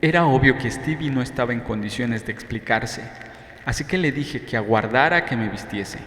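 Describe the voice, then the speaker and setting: A man's voice: mid-range voice, moderate pace, fairly bright tone, faint music behind. Echoey male audiobook narrator. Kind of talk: scripted first-person novel narration. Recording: echoey reverberant room, faint background noise.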